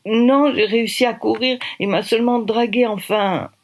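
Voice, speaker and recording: A woman's voice: fairly high pitch, steady tone, moderate pace, pitched above the performer's own voice. A woman reading aloud, voicing a frightened young woman who is shaken but spirited.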